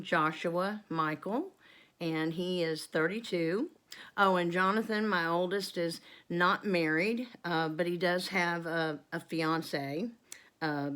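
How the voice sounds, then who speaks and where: A woman in her fifties with a drawl talks straight to camera. Her voice sits on the lower side, and she rambles through a casual Q and A, filling pauses with ums and wandering off into asides. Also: fairly bright tone. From North Carolina, USA. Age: 50s. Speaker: homestead vlogger.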